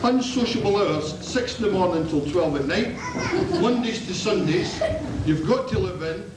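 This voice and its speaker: A man's voice: high-pitched, animated, moderate pace, background noise. A man with a Scottish accent playing a hearty, earnest Santa Claus, projecting his lines in an amateur pantomime. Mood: excited. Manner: projected, declamatory. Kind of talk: amateur stage pantomime acting. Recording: echoey 1980s hall video, distant, loud music.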